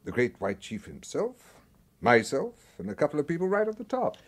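Husky, wheedling intelligence officer. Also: male voice, fairly high pitch, huge pitch swings, moderate pace, full character voice. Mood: discreet, hesitant. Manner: hesitant, insinuating, repeats himself. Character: wheedling male British intelligence officer, sly, clubby. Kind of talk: radio crime drama dialogue.

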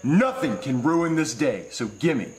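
A man puts on a stuffy, menacing debt collector for a comedy puppet show. He talks deliberately and pompously, greedy for his money, in a roomy echoing space. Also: fairly high pitch, animated. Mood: smugly pleased. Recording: roomy echo, played within a reaction video.

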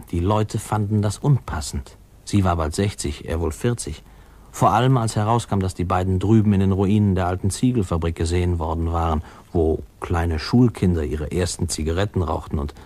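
An actor playing a grown man narrating memories of his boyhood in a 1950s German radio play, in a measured, wry way. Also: sits low, warm tone, breathy edge. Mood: wry, amused. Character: adult man wryly recalling his small-town boyhood.

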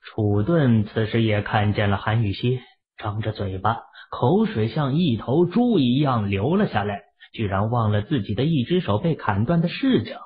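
A man's voice: mid-range voice, animated, normal speed. A man narrating a novel as a scripted, dramatic audiobook reading. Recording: tinny, narrow-band phone-like audio.

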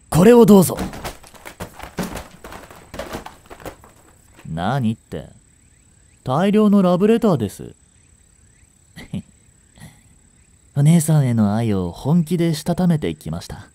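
An actor playing a young genius swindler in a romantic audio drama, lovestruck, jealous and boyishly flustered, speaking politely. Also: male voice, mid-range voice, warm tone, dry recording. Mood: eager, lovestruck. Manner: polite speech, stammering when flustered. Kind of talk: romantic audio drama acting, addressed to the listener.

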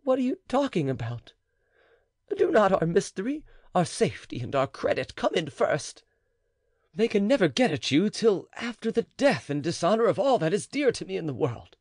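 Narrator doing a begging nobleman, gravelly, wide swings in pitch. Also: very animated, moderate pace, a little mellow, no echo, studio quality, full character voice. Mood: desperate. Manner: pleading, emotional. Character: desperate anguished nobleman. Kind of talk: audiobook character reading.

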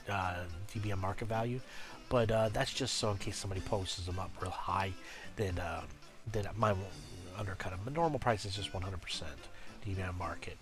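Stuffy-nosed man with a cold, halting. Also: lowish voice. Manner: halting, many uhs and restarts. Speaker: game guide creator. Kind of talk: casual screen-recorded tutorial.

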